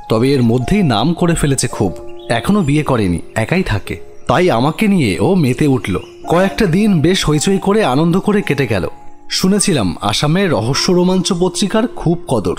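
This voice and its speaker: Man, storyteller, scripted horror narration, slightly high voice, music underneath. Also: very animated, moderate pace, a little mellow.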